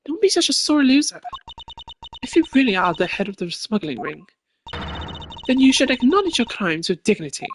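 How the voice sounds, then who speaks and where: A man voicing an earnest, excitable young woman in a detective video game. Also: extremely high pitch, normal speed, long pauses, background noise, voice pushed up, full character voice. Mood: defiant.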